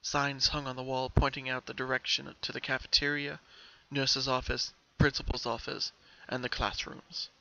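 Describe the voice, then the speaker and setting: A man's voice: medium pitch, level tone, normal speed, creaky, hardly any pauses, pushed and bright, muffled recording. A man narrating a story from a script as a dramatic reading.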